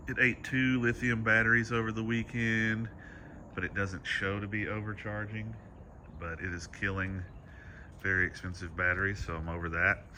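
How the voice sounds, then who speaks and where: A man's voice: on the low side, sharp tone. A man with a stuffy, congested nose, giving a casual, easygoing walkthrough outdoors on a rural property. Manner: easygoing, matter-of-fact, rambling. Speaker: vlogger. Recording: outdoor noise behind the voice.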